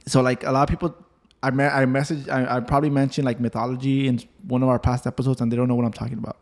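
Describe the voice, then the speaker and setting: A man's voice: mid-range voice, little pitch movement, rapid-fire, darkish tone. A man in his twenties co-hosting a casual podcast, chatting with a friend in slangy, swear-peppered banter. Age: 20s. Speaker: podcast co-host.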